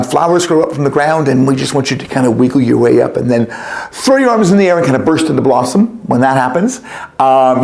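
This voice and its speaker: A man's voice: mid-range voice, gravelly, darkish tone. A man reading a story aloud to children in a theatrical, playful, emphatic way, inviting them to join in.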